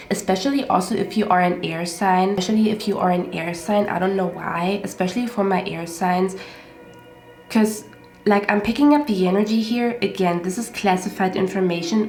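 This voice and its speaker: A woman's voice: medium pitch, darkish tone. Congested woman doing a tarot reading. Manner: repetitive, often checks in with "okay?". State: stuffy congested nose. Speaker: tarot card reader. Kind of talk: casual tarot reading monologue. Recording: roomy echo with faint music.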